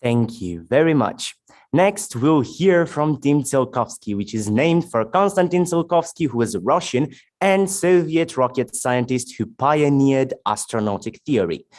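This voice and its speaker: A man moderating a debate tournament on a video call. His pitch swings in a lively, animated way as he reads out introductions and rules in measured, formal sentences.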